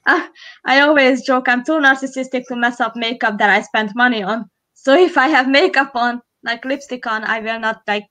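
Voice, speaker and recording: A woman's voice: on the high side, normal speed, sharp tone. A woman with a Hungarian accent, a live stream co-host chatting casually while doing makeup, rambling with frequent restarts.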